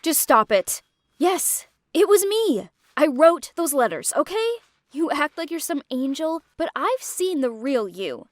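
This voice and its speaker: A sneering, sarcastic young woman, as a narrator puts her on. Her voice is high and dry, close to the mic. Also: very animated, unhurried, dry recording. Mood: spiteful.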